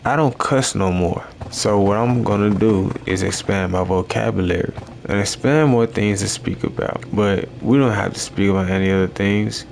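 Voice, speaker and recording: A man doing rambling, improvised commentary over a game he is playing, with a meandering, self-amused, slow deadpan delivery. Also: fairly low pitch, gravelly, slight background noise. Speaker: gaming YouTuber.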